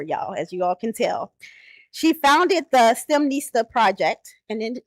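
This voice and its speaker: A woman's voice: a little high, dry recording, low-quality recording. A woman from Chicago's South Side introducing a keynote speaker at a dinner, speaking conversationally with fillers and self-corrections. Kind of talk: formal introduction speech with personal asides. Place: banquet hall.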